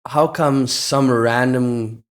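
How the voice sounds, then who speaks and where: A man's voice: mid-range voice, fairly even intonation, very slow. A man hosting a casual, reflective chat, musing informally and posing rhetorical questions.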